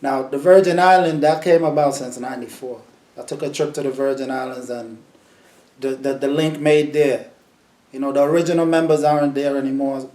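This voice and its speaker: Relaxed New man, echoey room. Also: medium pitch. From New York. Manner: relaxed, storytelling, repeats words. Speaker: sound system selector. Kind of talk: casual interview answers.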